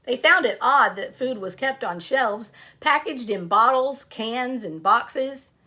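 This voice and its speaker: High-voiced woman reading slowly to children. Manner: expressive, addresses young listeners with questions. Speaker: storyteller reading aloud to children. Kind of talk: children's picture book read-aloud with asides.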